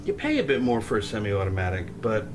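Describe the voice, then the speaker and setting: A man's voice: fairly low pitch, wildly swooping pitch, fast, noisy background. An actor playing a chatty small-town gun shop salesman with an American accent and friendly, casual patter in an audio drama.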